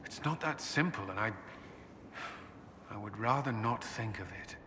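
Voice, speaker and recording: A man voicing an earnest, tormented military commander in a fantasy video game, intense and halting. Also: lowish voice, sharp tone. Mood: guarded, tender. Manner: halting, intense, breaking off mid-sentence. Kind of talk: dramatic game cutscene acting. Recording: reverberant hall with background noise.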